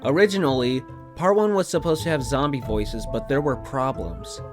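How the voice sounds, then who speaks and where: A man's voice: medium pitch, lively intonation, moderate pace. A man giving scripted narration as a voice actor presenting his own work. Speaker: voice actor and video creator. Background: music.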